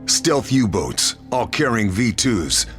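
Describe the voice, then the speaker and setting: An actor playing a determined sniper hero, narrating a mission with dry, clipped lines over cutscene music. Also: male voice, on the low side, sing-song, slow, a bit bright, full character voice. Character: hardened sniper hero, middle-aged man. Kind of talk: game narration.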